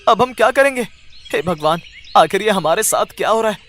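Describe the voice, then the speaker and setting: Man acting a humble, earnest villager, high-pitched. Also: expressive, fairly quick, fairly bright tone, slight background noise. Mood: shocked, distressed. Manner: earnest, respectful. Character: humble, earnest poor village papad maker, easily distressed. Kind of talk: Hindi cartoon story acting.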